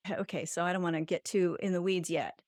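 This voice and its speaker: A woman's voice: on the low side, fairly even intonation, fast talker, darkish tone, dry recording. Rambling woman podcast host, dry sound. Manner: circuitous, rambling questions. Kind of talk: casual interview chat.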